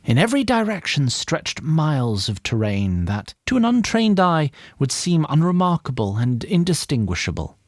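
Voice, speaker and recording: A man narrating a fantasy audio drama in a deliberate, literary storybook style. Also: fairly high pitch, very animated, no room sound, clean studio sound. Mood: measured storytelling.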